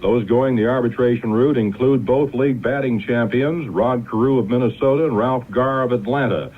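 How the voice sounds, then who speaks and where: A man's voice: mid-range voice, moderate pace. Man reading radio sports news over a tinny line. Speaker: network newscaster. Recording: tinny 1970s radio broadcast.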